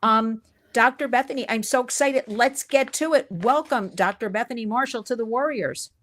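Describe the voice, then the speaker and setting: A woman hosts an interview show. She talks in an animated, gushing way, laughing often and bubbling over with praise for her guest. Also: fairly high pitch, moderate pace. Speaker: podcast host. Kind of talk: enthusiastic interview chat.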